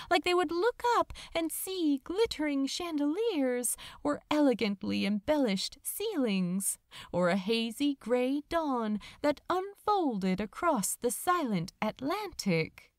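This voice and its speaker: A woman reading a romantic story aloud as a narrator, with a dramatic, tender and measured delivery. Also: high voice, dry recording, clean studio sound. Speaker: fan fiction audiobook narrator. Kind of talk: expressive scripted story narration.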